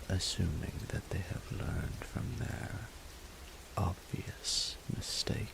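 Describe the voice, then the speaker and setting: A man playing a proud, menacing and seductive dragon ruler in a romantic audio roleplay, speaking formally in a breathy, gravelly half-whisper. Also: low voice, background noise, heavily acted. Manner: haughty, formal, deliberate.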